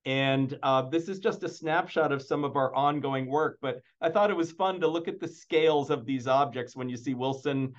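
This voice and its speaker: A man giving an informal slide presentation over a video call, speaking in halting phrases with repeated words. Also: mid-range voice. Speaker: presenter, museum imaging department staff. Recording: dull, band-limited video call audio.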